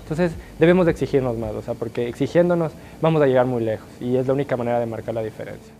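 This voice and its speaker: A young man from Guayaquil who speaks with an Ecuadorian highland accent, giving an earnest, upbeat testimonial in a small studio, often restarting his phrases. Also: racing, creaky, warm tone, faint music behind. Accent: Ecuadorian highland (serrano). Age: young adult. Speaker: alumnus interviewee. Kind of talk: sit-down testimonial interview.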